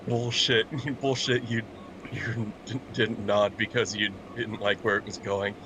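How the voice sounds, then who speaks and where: A man's voice: on the low side, level tone, unhurried, noisy background. A man in casual joking commentary, sardonic and blunt.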